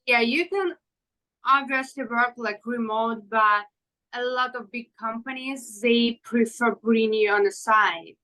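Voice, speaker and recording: A woman in her thirties from Ukraine, a fashion designer answering interview questions in halting with many fillers. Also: a little high, sharp tone, low-quality recording. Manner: halting non-native English with fillers.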